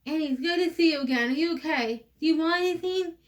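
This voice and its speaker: A woman's voice: sits high, fast talker, recorded from a distance, pitched above the performer's own voice, natural voice. A woman reading the part of an anxious, caring mother in a stage play. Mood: fussing, startled.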